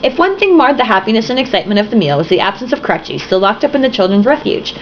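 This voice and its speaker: A woman's voice: a little high, sing-song, fast talker. A young woman reading a novel aloud, tipsy, giggly and sarcastic, breaking off for swearing asides. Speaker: reader and commentator. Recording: dull lo-fi home recording with faint hiss.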